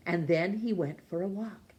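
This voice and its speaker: A woman reading a children's storybook as narrator, expressive, with dramatic pauses and emphasis. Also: fairly low pitch. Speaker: storytime reader.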